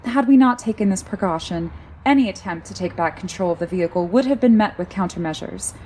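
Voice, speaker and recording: A woman playing a calm, controlling car computer in an audio drama, formal and clinical, coldly protective and always politely commanding. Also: medium pitch, quick pace, a little hiss or hum, natural voice. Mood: coldly insistent.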